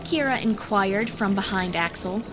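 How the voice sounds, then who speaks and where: A woman's voice: mid-range voice, unhurried. A woman reading scripted fantasy story narration as the narrator of an audiobook. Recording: music behind the voice.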